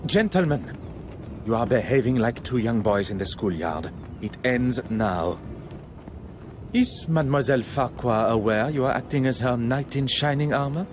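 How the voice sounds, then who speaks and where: An actor playing a formal, courteous foreign detective with a French-speaking Belgian accent in a video game, precise and probing. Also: male voice, mid-range voice, animated, normal speed, noisy background, lightly acted. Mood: stern, probing. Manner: formal, precise, polite phrasing.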